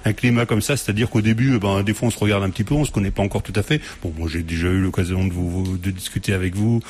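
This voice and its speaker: Man, husky, lowish voice, radio host, chatty, laughs a lot. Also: steady tone, rapid-fire, a little mellow. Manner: chatty, laughs often, many fillers. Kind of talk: live radio studio chat. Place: radio studio.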